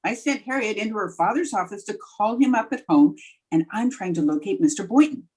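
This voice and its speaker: A woman's voice: medium pitch, animated, a little fast, darkish tone, breathy edge, poor audio. A woman playing a wry, self-important schoolteacher with a dry, wisecracking delivery in an old-time radio comedy. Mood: flustered, wry. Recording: remote video-call recording.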